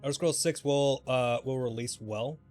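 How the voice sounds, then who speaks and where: A man's voice: mid-range voice, normal speed, darkish tone. A man chatting casually and informally on a live gaming stream, speculating in a rambling way. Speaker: game livestreamer. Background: background music.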